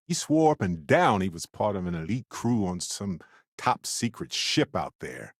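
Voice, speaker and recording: Video game companion: adventurous, wry spacefaring man, very lively delivery, dry close studio sound. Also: on the low side, no echo. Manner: wry, playful, expressive.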